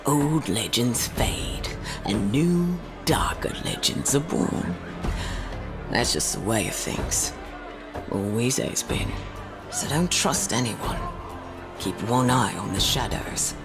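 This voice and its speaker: A man's voice: medium pitch, expressive, slow, music in the background. A man playing an ominous, knowing storyteller who narrates an audio drama in a theatrical manner.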